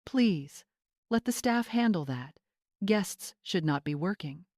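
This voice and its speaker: A woman's voice: lowish voice, lively intonation, slow, a little mellow, no echo, clean studio sound. Older male estate manager, read by a woman: formal, deferential, strained dignity, audio story. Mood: polite. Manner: formal, tight-jawed, deferential.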